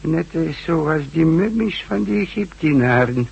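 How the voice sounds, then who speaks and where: Husky, creaky hundred-year-old villager. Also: male voice, on the high side, lively intonation, darkish tone, dull audio, heavily acted. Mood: awed, halting. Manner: halting, hesitant, rambling storytelling. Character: rambling hundred-year-old village man, friendly, hospitable. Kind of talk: radio drama acting.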